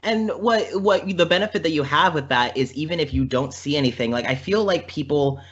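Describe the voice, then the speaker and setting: Bright-voiced man chatting, slightly dull audio. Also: on the high side, brisk, slightly rough. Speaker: podcast guest. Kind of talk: casual podcast conversation.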